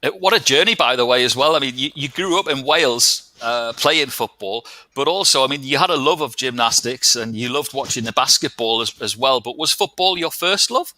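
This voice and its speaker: A man's voice: medium pitch, a little fast, pushed and bright, no room sound. A man hosting a football chat show, introducing segments and guests in an upbeat way. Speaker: host.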